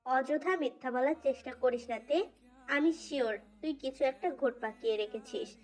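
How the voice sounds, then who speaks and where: A woman's voice: sits high, normal speed, darkish tone. A woman voicing a stern, suspicious, annoyed young male college friend in a dramatised fan fiction. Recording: faint music under voice. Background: faint background music.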